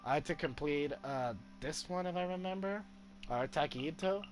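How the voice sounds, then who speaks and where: A man doing casual commentary on a video game playthrough, halting and thinking aloud as he goes. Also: a little high. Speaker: gamer streaming playthrough. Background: game music.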